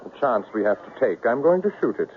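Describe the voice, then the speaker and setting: An actor playing a coolly arrogant, unflappable big-game hunter in a 1970s radio drama, with a clipped, nonchalant manner. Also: male voice, medium pitch, very animated, fast, natural voice. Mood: cool bravado. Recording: tinny, noisy 1970s radio recording.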